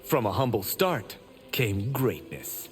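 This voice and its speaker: A smug, boastful young champion. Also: male voice, on the low side, very animated, takes it slowly, soft background music. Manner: smug, self-assured, grand delivery. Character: proud, boastful young male martial arts champion. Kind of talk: video game character dialogue.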